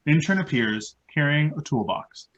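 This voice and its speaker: A man's voice: fairly high pitch, animated, normal speed, a bit bright, dull audio. A man giving scripted narration, reading the stage directions of a play.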